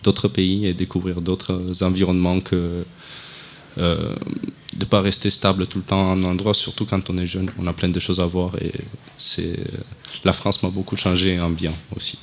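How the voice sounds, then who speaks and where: A young man, a student guest on a live student radio show, talking in a relaxed, chatty way with many fillers. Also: sits low, moderate pace, a little hiss or hum. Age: young adult.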